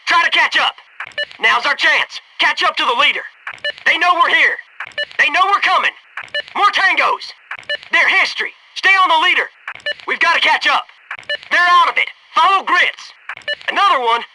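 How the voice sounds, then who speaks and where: A man's voice: extremely high pitch, animated, moderate pace, pauses often, background noise. An American man voicing a wisecracking, gung-ho special forces soldier in a video game, with a sarcastic, informal delivery. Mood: urgent, shouting. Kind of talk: video game combat barks and quips.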